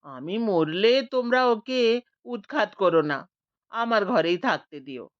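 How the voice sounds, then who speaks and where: A woman narrating a scripted audiobook story with an expressive, swooping storytelling delivery. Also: mid-range voice, takes it slowly, dry recording, highs cut off.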